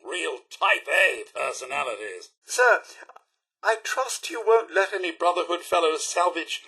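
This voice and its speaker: A bright, slightly husky British robot butler from a video game. He is prim and servile yet wry and fussy, and his formal lines swing widely in pitch. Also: expressive, a little slow, exaggerated voice. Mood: disdainful, wry. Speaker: game character voice actor.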